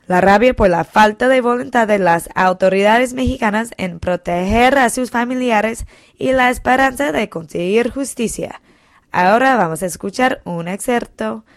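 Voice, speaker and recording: A woman presenting a radio news segment, reading a plain, measured introduction. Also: fairly high pitch, no echo, clean studio sound.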